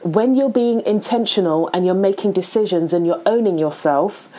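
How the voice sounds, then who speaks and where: A woman's voice: mid-range voice, moderate pace, warm tone. A woman hosting an interview, speaking in a reflective, earnest and encouraging way. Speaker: podcast host. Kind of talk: casual interview conversation.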